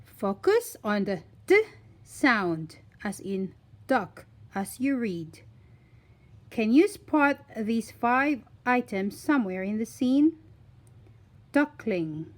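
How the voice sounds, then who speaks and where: A woman reading a scripted phonics lesson for young children, deliberately enunciating single words in an instructional way. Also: medium pitch. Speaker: children's phonics teacher and reader.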